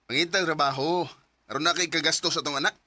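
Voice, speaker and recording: A man's voice: on the high side, fairly even intonation, fast talker, natural voice. A man with a Cebuano Filipino accent playing an idle, careless young husband in a radio drama. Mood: defiant.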